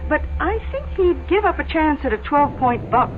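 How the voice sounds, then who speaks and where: An actress playing a sly, folksy small-town motel keeper in a radio drama, with a coy, insinuating manner. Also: female voice, sits high, big pitch swings, normal speed. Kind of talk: 1970s radio drama acting. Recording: dull old radio with music behind.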